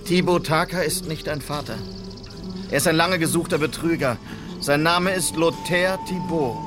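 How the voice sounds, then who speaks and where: An actor playing a determined, authoritative Old West police officer in a German radio play. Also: male voice, on the high side, normal speed, over music, natural voice. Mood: controlled, firm. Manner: authoritative, terse. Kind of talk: German radio play acting with narrated log.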